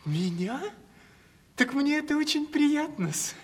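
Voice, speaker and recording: A young toady in an old radio drama, naive and ingratiating. His lilting, very animated voice sounds in a reverberant space with some noise. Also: extremely high pitch, slow pace, full character voice. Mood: eager, fawning. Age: young adult. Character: obsequious, eager, simple young man courting his patroness. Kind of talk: theatrical 1970s Russian radio play acting. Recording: reverberant, noisy archival radio drama recording.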